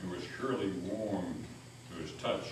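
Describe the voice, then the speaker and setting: A man's voice: low-pitched, moderate pace. Man doing dramatic oratorical narration, far from mic, super echoey, bit of noise. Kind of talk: scripted dramatic story reading. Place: old meeting house, live performance. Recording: very echoey hall, distant mic, faint noise.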